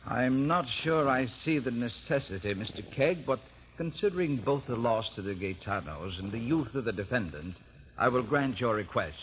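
An actor playing a courteous judge, formal and deliberate, in a 1950s radio drama. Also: male voice, mid-range voice, expressive, a bit bright, a little hiss or hum, close to an everyday voice, low-quality recording. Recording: old radio recording with crowd murmur.

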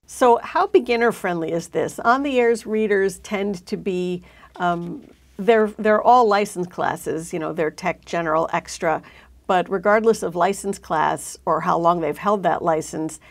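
A woman's voice: on the low side, vocal fry. A middle-aged woman hosting a conversational interview, recorded indoors in a studio on a lapel mic. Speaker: interview host. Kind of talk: conversational interview on a hobby show.

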